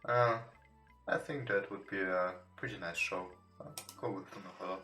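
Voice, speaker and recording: A man who is not a native English speaker, reading a visual novel aloud with casual commentary in a somewhat halting way. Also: low voice, fairly even intonation, sharp tone. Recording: roomy, echoing home recording. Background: game music.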